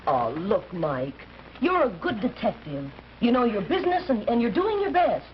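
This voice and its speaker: An actress with an American accent playing a detective's devoted, teasing wife in old-time radio drama style, wry and coaxing. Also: female voice, on the high side, very animated, normal speed. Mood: reassuring. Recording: dull, noisy old radio recording.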